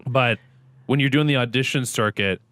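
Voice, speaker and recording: A man's voice: medium pitch, normal speed, no echo. A young adult man, a guest on a podcast, chatting casually in an upbeat, joking way. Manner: upbeat, jokey and animated. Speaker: podcast guest, streamer and actor. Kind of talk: casual interview chat.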